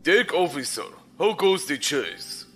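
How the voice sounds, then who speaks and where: An actor playing a stern, pompous commodore with a cutting, commanding manner in a science fiction audio drama. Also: male voice, a little high, big pitch swings, slow pace, a bit bright, faint music behind, full character voice. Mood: impatient. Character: stern, scornful male space military commodore.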